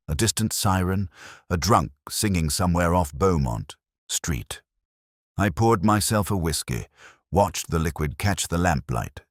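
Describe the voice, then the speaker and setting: A middle-aged man with an exceptionally low voice narrates a detective drama in the first person. His delivery is measured, brooding and literary, with lively rises and falls in pitch. The sound is dry, with hardly any room on it. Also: no echo.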